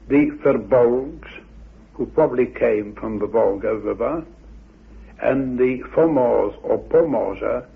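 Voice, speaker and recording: A man giving a deliberate, halting, scholarly explanation, as a researcher would in a talk. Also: medium pitch, creaky, breathy edge. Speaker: researcher interviewed about mythology. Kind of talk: explanatory talk with quoted recitation. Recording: muffled phone-like old radio recording.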